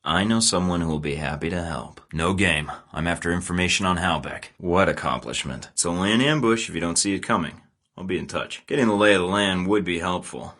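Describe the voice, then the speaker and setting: A man's voice: deep voice, fairly quick, a bit bright. An actor playing a cocky young male secret agent in a video game, delivering dry, sarcastic, terse lines. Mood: cool. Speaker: game character.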